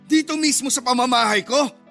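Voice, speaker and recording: Casting: older Filipino father for radio drama, bullying, indignant, high-voiced, hard bright edge. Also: fairly quick, vocal fry, faint music behind, full character voice. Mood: furious, threatening. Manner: indignant, threatening, confrontational. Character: hostile older father, menacing and domineering.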